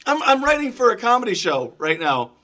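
Animated high-voiced man, theatrical chatter. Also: a little fast, shouting. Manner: theatrical, bursts into song and put-on voices. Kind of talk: casual comic chat.

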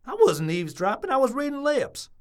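A woman's voice: sits high, big pitch swings, a little fast. A woman voicing a nosy, defensive small-town busybody man with a Southern US accent, in a comic audio drama. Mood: irritated, defensive.

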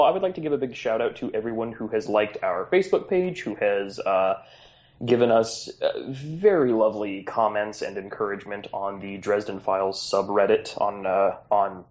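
A man's voice: on the low side, darkish tone, lo-fi sound. A man hosting a tabletop game podcast as game master, narrating scenes with jokey asides.

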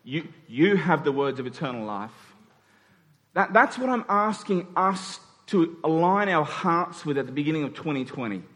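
A man's voice: fairly high pitch, animated, normal speed, fairly bright tone. A man preaching a conversational sermon in an informal way, acting out dialogue in everyday words. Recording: long hall reverb.

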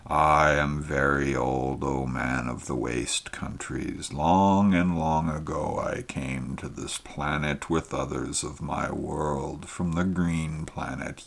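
An ancient, blind, tortured creature from another world speaks, as a male narrator puts it on, its words hushed and mournful, sometimes sinking almost to a whisper. The voice is extremely deep and unhurried. It sounds weighed down by endless suffering. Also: full character voice. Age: very old figure. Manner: hushed, mournful, breathy at times.

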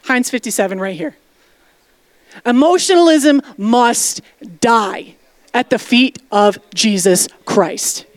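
A woman's voice: on the high side, lively intonation, takes it slowly, no room sound. A woman pastor preaching a sermon, emphatic and direct, calling out to the congregation for responses and dropping casual asides. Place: church sanctuary.

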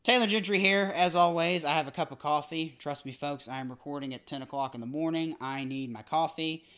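A man's voice: fairly high pitch, a little fast, a bit bright, clear, resonant tone. Male podcast host, chatty and joking, casual interview. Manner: joking, rambling, self-deprecating.

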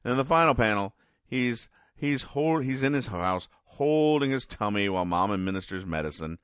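A man hosting a comedy podcast, explaining things in a halting, deadpan mock-serious commentary. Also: mid-range voice, clean tone, no echo, studio quality. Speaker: podcast host. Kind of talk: comic commentary describing newspaper comic strips.

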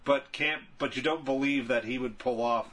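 A man's voice: mid-range voice, fairly even intonation, moderate pace, a bit bright. A man co-hosting a podcast in casual conversation, giving opinions on films. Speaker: podcast co-host. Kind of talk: casual chat about films. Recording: clear background noise.

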